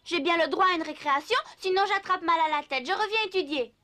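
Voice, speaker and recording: A woman's voice: very high-pitched, fast, pushed and bright, a little hiss or hum. A woman voicing a mischievous wooden puppet boy in a French cartoon dub, cheeky and defiant in a childlike way. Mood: excuse-making. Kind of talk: French animated series dub.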